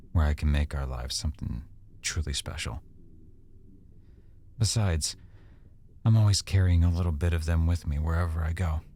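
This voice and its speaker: A man playing an affectionate, easygoing boyfriend in an intimate roleplay, speaking casually and softly with hesitant pauses. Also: deep voice, a little hiss or hum. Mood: reflective, tender. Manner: soft-spoken, casual, hesitant pauses and trailing thoughts. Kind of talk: boyfriend roleplay ASMR, one-sided intimate conversation.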